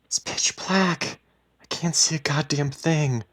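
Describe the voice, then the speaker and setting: A man voicing a frightened, furious wife with a sharp, sarcastic tongue in a dramatic story reading. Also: medium pitch, very animated, unhurried, breathy edge, pitched above the performer's own voice, heavily acted. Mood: whispered, uneasy. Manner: exasperated, swearing, emphatic.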